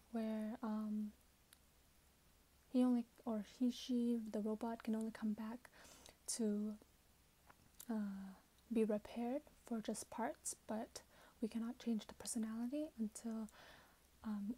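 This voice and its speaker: A young woman in her twenties doing soft-spoken ASMR roleplay, often whispering, with a gentle, hesitant, polite customer-service manner. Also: on the high side, slightly rough, poor audio. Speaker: ASMR creator playing a robot companion clerk. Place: small plain room indoors. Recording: hissy background noise, keyboard typing. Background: keyboard typing and clicks.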